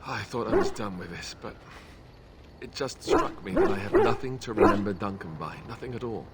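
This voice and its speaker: An actor playing an affable, boyish young warrior who talks in a conversational, sentimental way in a video game. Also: male voice, fairly low pitch, wildly swooping pitch, moderate pace, very noisy. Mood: grieving, subdued. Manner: conversational, self-interrupting storyteller. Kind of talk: video game dialogue acting.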